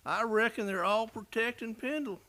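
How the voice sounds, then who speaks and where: Casting: marshal's kindly helper played by a woman, sharp bright tone, homespun western talk. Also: a little high, normal speed. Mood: curious, sympathetic. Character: folksy, sympathetic male sidekick of a frontier marshal. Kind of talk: western radio play acting.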